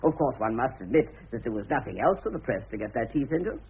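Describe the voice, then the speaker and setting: An actor in a radio play voicing a glib, pushy press agent, a smooth-talking man who speaks with brisk emphasis. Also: mid-range voice, sing-song, full character voice. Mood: brisk, teasing. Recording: muffled, tinny old radio drama recording.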